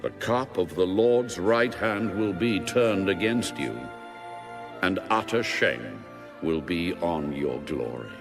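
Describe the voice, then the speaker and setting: Slow, solemn man reading scripture over music. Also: low-pitched, lively intonation. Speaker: audio Bible reader. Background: music under the reading.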